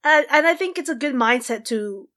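A woman hosting a podcast, asking easy questions and agreeing in a relaxed, casual way. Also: sits high, a little fast. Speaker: podcast host. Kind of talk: casual interview chat.

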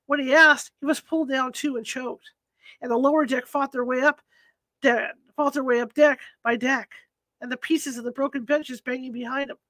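A woman's voice: sits high, fairly even intonation, moderate pace, pushed and bright, no room sound. A woman reading aloud as a dreamy young man who speaks absently, as if half in a vision. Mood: brooding. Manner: absent-minded, distant storytelling.

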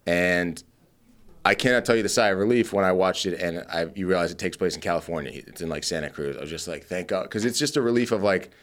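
Low-voiced Boston man ranting casually. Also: quick pace, fairly bright tone. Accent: slight Boston. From Massachusetts, United States. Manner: ranting, exasperated, sweary. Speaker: podcast co-host. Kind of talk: casual opinionated film chat.

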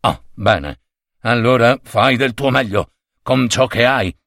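A man's voice: mid-range voice, animated, slow, fairly bright tone, no echo, clean studio sound. A man voicing a grumpy middle-aged newspaper foreman who growls his orders. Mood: gruff. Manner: growling, curt.

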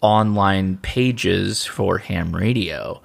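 A man's voice: low voice, drawn-out words, gravelly. A man co-hosting a podcast in casual chat, rambling and often repeating himself as he thinks aloud. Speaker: podcast co-host.

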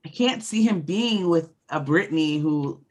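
A woman with a deep voice co-hosting a podcast, chatting frankly and speculating, with the odd swear. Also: lively intonation, normal speed, poor audio. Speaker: podcast co-host. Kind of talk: casual chat.

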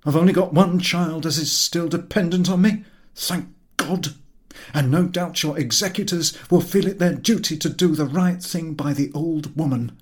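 A man's voice: on the high side, moderate pace, voice pushed up, natural voice. A man voicing a stubborn, genial old working-class yacht captain in a storybook, with deferential, folksy rustic speech. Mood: solemn. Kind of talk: storybook character voice.

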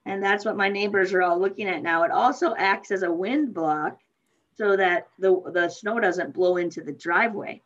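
A woman's voice: medium pitch, normal speed. Host: chatty woman from Minnesota, laughs through her stories, dull remote sound. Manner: animated storyteller, laughs often. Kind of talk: casual chat.

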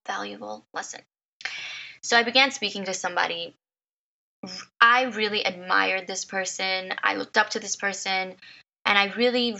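A woman in her thirties hosting her own podcast, telling a personal story with emotion. Her voice is a little raspy from crying, and she repeats words and laughs often. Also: mid-range voice, pushed and bright, lo-fi sound. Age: 30s. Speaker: podcast host.

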